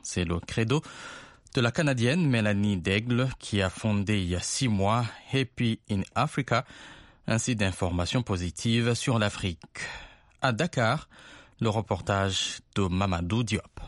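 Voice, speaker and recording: A man's voice: on the low side, unhurried, no room sound, clean studio sound. A man presenting a scripted radio news programme as its anchor. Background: music.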